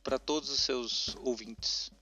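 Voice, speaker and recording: A man's voice: mid-range voice, steady tone, slow pace. A Brazilian man giving a casual recorded testimonial as a guest contributor. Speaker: podcast listener, guest contributor. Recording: dull lo-fi voice recording. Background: loud guitar music.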